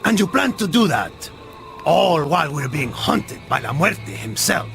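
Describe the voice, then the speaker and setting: An actor playing a wry, exasperated Spanish mercenary in a video game, with a Spanish accent and a sardonic manner. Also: male voice, on the high side, measured pace, vocal fry, exaggerated voice. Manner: sardonic, animated, peppers talk with Spanish words. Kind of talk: video game cutscene acting. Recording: loud music behind the voice.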